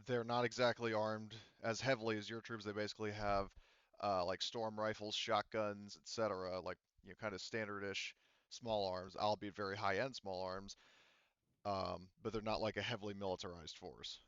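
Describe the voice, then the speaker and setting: A man acting as game master, explaining a tabletop game scenario in a conversational, halting way. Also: on the low side, level tone, fairly bright tone, clean tone, no echo, highs cut off.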